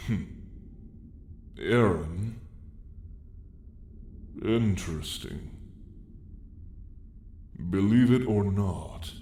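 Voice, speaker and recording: A man's voice: low voice, warm tone. A man playing an ancient, weary dragon lord with dry menace, telling his tale in a grand, wry, old-fashioned way for an ASMR roleplay. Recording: roomy echo, faint background noise.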